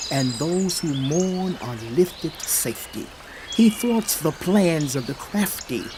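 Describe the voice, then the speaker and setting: Eliphaz, slow high-voiced biblical counselor. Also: male voice, noisy background. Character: Eliphaz, male biblical elder earnestly counseling a suffering friend. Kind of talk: dramatized scripture reading.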